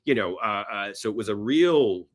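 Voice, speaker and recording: A man's voice: lowish voice, lively intonation, normal speed. A man being interviewed, telling stories casually in a rambling way and acting out imagined bits of dialogue. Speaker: podcast guest, screenwriter.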